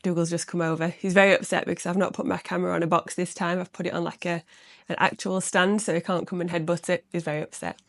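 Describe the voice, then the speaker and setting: A young woman talks through her handmade work in a loose, rambling home vlog, her voice a little croaky and gravelly with fry. Her mid-range voice sits close to the microphone in a dry, deadened space with no room echo. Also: lowish voice, level tone, fast. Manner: chatty and rambling, lots of "like". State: slightly croaky from recent illness. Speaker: crochet vlogger. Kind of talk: casual chatty vlog.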